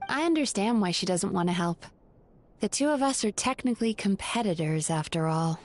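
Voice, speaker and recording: A woman voicing a calm, polite adult woman who runs a hot spring. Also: medium pitch, normal speed, close to an everyday voice. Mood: composed.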